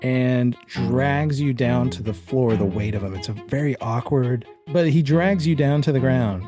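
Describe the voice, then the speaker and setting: A man's voice: mid-range voice, unhurried, music in the background. A man narrating a tabletop horror game as game master, conversational and addressing the player directly. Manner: conversational, describes scenes in the second person. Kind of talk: tabletop horror game narration, part suspenseful storytelling, part casual rules talk.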